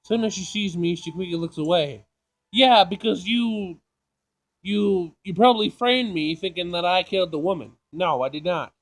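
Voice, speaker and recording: A man doing casual playthrough commentary, reading game text aloud, jokey and thinking out loud as he repeats himself. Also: high voice, moderate pace, rough recording. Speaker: gaming video host.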